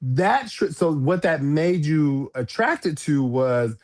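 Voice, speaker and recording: A man's voice: on the high side, expressive, slow pace, darkish tone. A man in his forties, a guest and drag performer, telling stories in a casual podcast interview with many restarts. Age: 40s. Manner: storytelling, frequent restarts and repeated words. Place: podcast studio.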